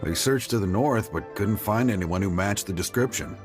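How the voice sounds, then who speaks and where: An actor playing a sober, helpful city guard in a video game, speaking matter-of-factly. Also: male voice, lowish voice, normal speed, music in the background, natural voice. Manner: matter-of-fact.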